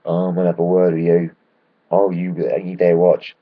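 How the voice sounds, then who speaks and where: A man, hoarse from shouting at a match, ranting excitedly and swearing freely in a casual football vlog. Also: deep voice, moderate pace, phone-quality sound. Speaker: football fan vlogger.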